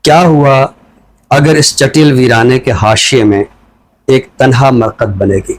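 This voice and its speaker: Male, Pakistani accent, mid-pitched voice, literary presenter with measured phrasing. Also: steady tone, rough recording. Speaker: literary show presenter reciting a poem and introducing a book. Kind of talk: poetry recital and literary talk.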